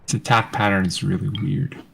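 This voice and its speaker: A man's voice: low voice, level tone, a little fast, creaky, background noise. A man chatting casually as he comments on a game with a friend. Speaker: gamer watching and commenting with a friend. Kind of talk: casual chat. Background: game music.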